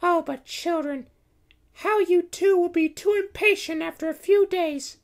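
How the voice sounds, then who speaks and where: A man voicing a gentle, wistful magical cat princess in a high falsetto, with a distant sound. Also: a little slow.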